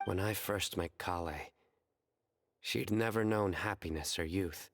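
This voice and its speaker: Casting: grave young game lawman, deep-pitched, measured and serious. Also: a bit bright, a little hiss or hum. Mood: grave, caring. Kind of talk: video game cutscene acting.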